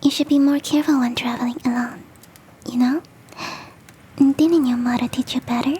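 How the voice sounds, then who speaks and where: A woman voicing a big fluffy motherly yeti in an ASMR roleplay, cooing gently and teasingly in a soft, breathy whisper. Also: high voice, steady tone, slight background noise, close to an everyday voice, rough recording. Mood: soothing, playful. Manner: cooing, nurturing, slow and teasing.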